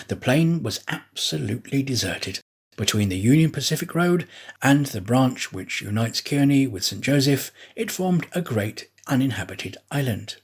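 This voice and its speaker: A man reading a classic adventure story aloud as an audiobook narrator, with a deliberate, dramatic storytelling delivery. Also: medium pitch, husky. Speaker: audiobook reader, actor.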